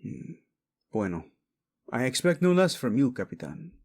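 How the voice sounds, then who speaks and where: A man's voice: medium pitch, sing-song, normal speed, darkish tone. A man playing a proud, vengeful young king, fiercely protective of his wife, in a dramatic romance audio roleplay. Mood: cold, vengeful. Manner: brooding, commanding, tender in endearments.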